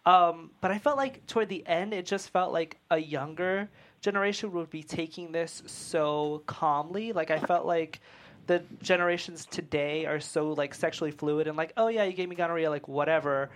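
A woman's voice: low voice, moderate pace. A woman casually putting questions and feedback to others in a group discussion. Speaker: table-read participant asking questions.

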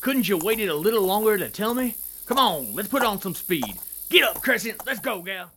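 A man playing a gruff, impatient American cowboy detective in a western radio drama. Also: high-pitched, sing-song, normal speed, bright, forceful tone, faint music behind, heavily acted. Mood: urgent.

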